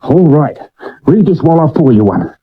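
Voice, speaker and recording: A man playing an eager, chiding sleuth's assistant, urgent and excitable in a radio drama. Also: a little high, moderate pace, husky. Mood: excited. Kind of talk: 1970s British radio drama acting. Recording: dull vintage radio recording.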